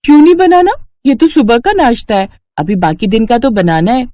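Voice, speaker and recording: Woman voicing an eager, high-pitched young daughter-in-law. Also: sing-song, a little fast, warm tone, no echo. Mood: insistent. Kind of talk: Hindi cartoon story dialogue.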